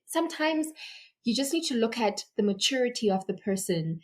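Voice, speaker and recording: A woman's voice: on the high side, moderate pace. A young woman from Zimbabwe, a podcast guest telling a personal story in casual conversation, with many restarts. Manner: animated storytelling with repeated restarts.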